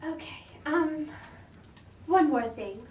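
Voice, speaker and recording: A woman's voice: high-pitched, lively intonation, slow, darkish tone. A woman playing a young royal attendant in amateur comic theatre, hesitant, apologetic and deferential. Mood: anxious, deferential. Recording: echoey room, distant mic, faint noise.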